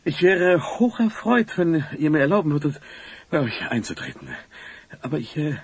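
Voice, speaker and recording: An obsequious, flustered male townsman in a fantasy audio play. He halts and fawns in a raspy, fairly high voice that swoops extravagantly, recorded very dry. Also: very animated, no echo. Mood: fawning, flustered. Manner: halting, effusively deferential. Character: fussy, obsequious male scroll printer, flustered.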